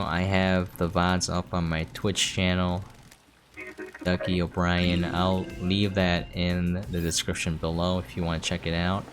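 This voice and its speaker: A man's voice: sits low, noisy background. A man giving casual gameplay commentary as a streamer, rambling and thinking aloud as he plays. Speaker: game streamer. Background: game music.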